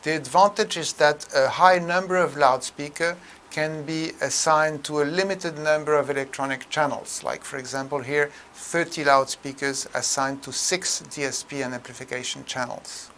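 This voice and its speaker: A man presenting a technical tutorial in an explanatory way, with a halting, hesitant delivery full of mid-phrase pauses. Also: on the high side, fairly even intonation, a bit bright, slight background noise.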